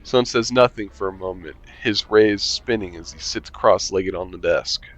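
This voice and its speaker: A man's voice: low-pitched, normal speed, fairly bright tone, dull audio, soft background music. A man reading a visual novel aloud with commentary, making dry, sarcastic asides and swearing casually. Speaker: game streamer.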